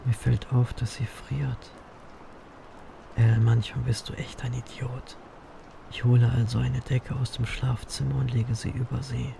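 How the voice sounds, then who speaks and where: An actor playing a brooding, wry male grim reaper who narrates his own story in an audio drama, self-mocking and breathy in places. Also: mid-range voice, level tone, unhurried, noisy background. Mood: weary, brooding.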